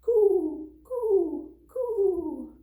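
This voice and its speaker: A woman's voice: very high-pitched, lively intonation, very slow, reverberant, narrow, tinny audio, voice pushed up, exaggerated voice. A woman imitating small storybook birds with repetitive, sing-song calls. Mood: bright, calling. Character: chorus of small storybook birds calling brightly.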